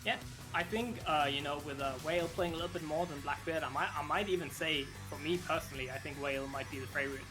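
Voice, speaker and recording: A man breaks down fighting-game matches in a measured, analytical way. His voice sits a little high. Game music plays clearly behind him. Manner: analytical, measured, many fillers. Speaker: esports co-commentator.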